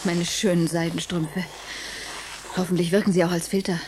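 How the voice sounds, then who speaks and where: A woman's voice: fairly low pitch, little pitch movement, moderate pace, background noise, lightly acted. A woman playing an anxious, sensible middle-aged retiree in a science fiction radio play, fretful and exclamatory. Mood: flustered. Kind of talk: German radio play acting. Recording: music playing behind.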